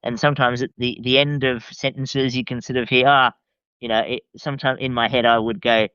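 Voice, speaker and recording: An Australian man chatting casually as a podcast guest, enthusiastic but hesitant and halting in his phrasing. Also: mid-range voice, flat delivery, pushed and bright, clear, resonant tone, dry recording, highs cut off. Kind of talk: casual chat.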